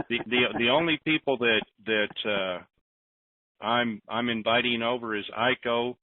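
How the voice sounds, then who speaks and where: A man's voice: mid-range voice, takes it slowly, slight background noise. Joking man on a tinny phone line. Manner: rambling, self-deprecating, jokey, with restarts. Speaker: host of a conference call. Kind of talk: casual conference call, reading listener questions.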